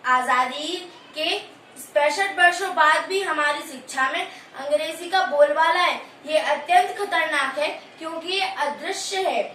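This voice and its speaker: A woman's voice: high voice, sharp tone. A woman playing a female student in an amateur skit, reciting her lines in an earnest, declamatory way. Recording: echoey room, distant mic, faint hiss.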